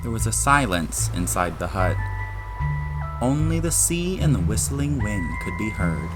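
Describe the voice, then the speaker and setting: A man's voice: on the low side, big pitch swings, measured pace, loud music behind the voice. A man giving dramatic scripted narration for an audiobook-style audio drama.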